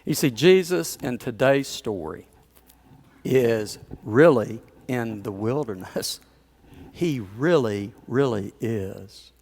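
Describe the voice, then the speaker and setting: Male pastor, conversational storyteller in the pulpit, chuckling asides, mid-pitched voice. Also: expressive, slow, darkish tone, slightly rough. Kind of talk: sermon.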